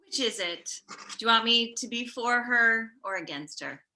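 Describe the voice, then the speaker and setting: Comedy table read: woman as middle-aged mom, high bright voice, soothing a grown son. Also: lively intonation, normal speed, slight background noise, full character voice. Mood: placating, exasperated.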